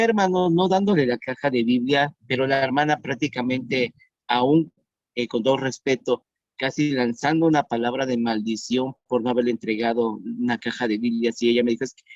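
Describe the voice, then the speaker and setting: A man in his fifties preaching an online sermon as pastor, earnest and repeating his questions slowly for emphasis. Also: medium pitch, no room sound. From Mexico. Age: 50s. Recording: dull dry sound.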